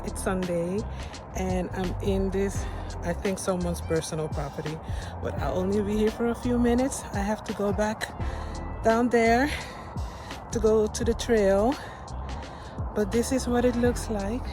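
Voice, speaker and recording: A woman's voice: medium pitch, unhurried, pauses often, over music. A woman from Suriname whose first language is Dutch, chatting casually and expressively in a personal vlog. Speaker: life coach presenting a personal vlog. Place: outdoors by a field.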